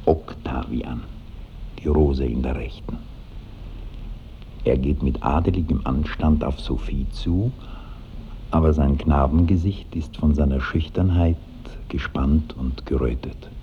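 A man narrating stage directions for a radio play in a measured, literary way. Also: a bass voice. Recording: muffled 1960s radio with slight noise.

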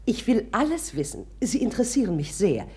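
A woman's voice: a little high, sing-song, a little fast, dark tone. A woman playing a middle-aged, impulsive, commanding society mother in a radio drama, talking effusively and abruptly. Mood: curious, eager.

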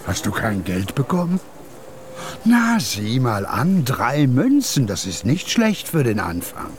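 A man playing a kindly, eccentric paper picker with a gentle, quirky manner in a German children's radio play. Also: mid-range voice, wildly swooping pitch, slow, slight background noise. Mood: kindly, encouraging.

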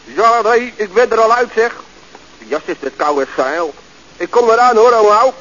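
An actor playing a lazy, cheeky, slow-witted young nephew who has just woken up, in a radio comedy. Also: male voice, high voice, animated, a bit bright. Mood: startled, cheeky. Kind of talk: 1970s Dutch radio comedy serial acting. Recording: dull, noisy vintage radio recording.

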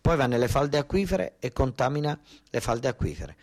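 A male stage storyteller with a southern Italian accent and a middle-pitched voice. He delivers a monologue in everyday, colloquial speech, hammering points home by repeating them. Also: sing-song, moderate pace. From Basilicata, southern Italy. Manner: colloquial, insistent, repeating phrases for emphasis. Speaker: actor-storyteller. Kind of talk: narrative theatre monologue.

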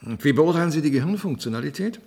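An actor playing a curious, wry scientist in a German radio drama, with a gravelly, husky voice. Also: male voice, fairly high pitch, animated, quick pace, a little mellow, exaggerated voice. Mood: curious, thoughtful. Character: curious, wry male scientist reviewing brain scans.

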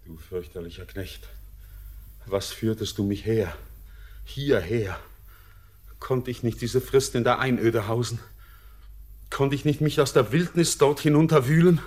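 An actor playing a tormented, doomed young miner with impassioned theatrical declamation in a 1940s German radio play. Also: male voice, medium pitch, unhurried, long pauses, darkish tone, close to an everyday voice. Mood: anguished, accusing. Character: tormented, doomed young miner raging at his dark guide.

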